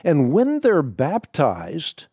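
A man from the Netherlands, a native Dutch speaker with no accent, hosting a call-in radio show and answering Bible questions in a measured, explanatory way. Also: fairly high pitch, wildly swooping pitch, dark tone, slightly rough, no room sound.

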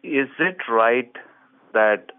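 A man's voice: medium pitch, expressive, very slow, clean tone, no room sound. A man calling in to a radio show, asking his questions in a hesitant, polite way. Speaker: caller. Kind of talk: phone-in question. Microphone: telephone. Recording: tinny phone line.

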